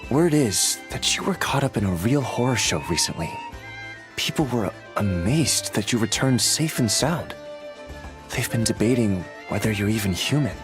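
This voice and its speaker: A man's voice: lowish voice, expressive, moderate pace, over music, natural voice. An actor voicing an earnest, protective young man in a game, who talks bluntly and grows exasperated. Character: earnest, anxious young male master, protective. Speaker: game character.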